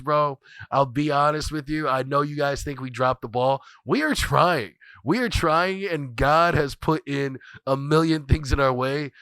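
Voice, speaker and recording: A man's voice: medium pitch, a bit bright, clean tone, dry recording. Congested man riffing on his podcast. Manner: rambling, repeats phrases, swears freely. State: congested, scratchy voice from a cold. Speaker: podcast host. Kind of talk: casual profane solo commentary.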